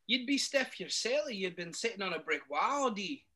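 A man reading a story aloud, putting on a stern, irritable middle-aged Scottish witch in a high falsetto, set back from the mic. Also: big pitch swings, moderate pace, bright, forceful tone, heavily acted. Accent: Scottish, put on. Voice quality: high strained falsetto with thick Scots words.

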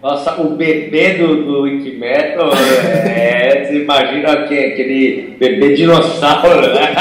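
A man's voice: mid-range voice, unhurried. A man co-hosting a podcast in casual, teasing banter. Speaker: podcast co-host. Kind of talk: casual chat. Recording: echoey room.

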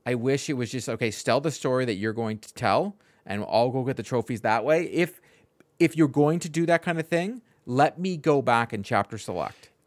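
A man's voice: medium pitch, lively intonation, normal speed, no room sound. Man chatting casually, close and dry sound. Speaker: podcast co-host. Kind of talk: casual opinionated chat.

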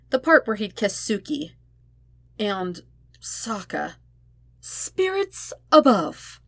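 Low-voiced woman narrating a story, close and dry. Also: no room sound. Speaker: podfic narrator. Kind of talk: expressive audiobook-style fan fiction narration.

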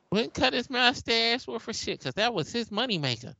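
Woman, forties, wry co-host, slow lively delivery, dry lo-fi recording. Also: a little high, vocal fry, no echo. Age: 40s. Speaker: podcast co-host. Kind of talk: casual group chat. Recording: dry dull lo-fi sound.

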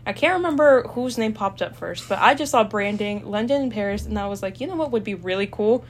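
A woman's voice: medium pitch, a little fast, slight background noise. A young woman from El Salvador, a university student, chatting casually with lots of fillers and easy laughter. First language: Spanish. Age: young adult. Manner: chatty, hesitant with many fillers, laughs easily. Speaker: student guest. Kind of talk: casual chat.

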